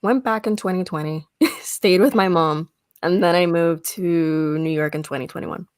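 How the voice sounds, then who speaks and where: Young woman from Puerto Rico, friendly guest, lowish voice, dry sound. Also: normal speed, darkish tone, dry recording. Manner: friendly, laughs easily. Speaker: guest author and filmmaker. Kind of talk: casual interview chat.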